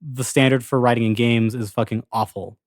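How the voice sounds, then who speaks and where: Fast-talking blunt, sweary man. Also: mid-range voice, fairly even intonation, no echo, studio quality. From English-speaking country. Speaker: podcast co-host. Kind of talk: casual chat.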